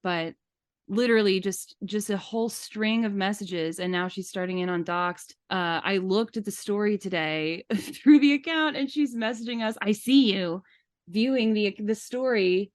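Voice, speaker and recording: A woman's voice: medium pitch, normal speed, dry recording. A woman co-hosting a podcast, talking casually in an indignant, emphatic and opinionated way. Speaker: podcast host.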